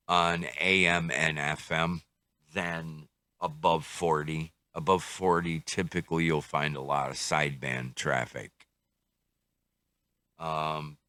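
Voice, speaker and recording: An older man with a very low, bright-edged voice talks through a casual hands-on review. He is slow and musing, often thinking aloud to himself. The sound is dry and close, without room echo. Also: smooth. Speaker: radio hobbyist reviewer.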